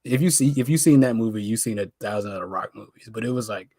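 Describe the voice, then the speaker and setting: A man's voice: on the low side, fast talker, warm tone. An American man co-hosting a pop culture podcast, talking casually and informally with plenty of slang and opinions. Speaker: podcast co-host.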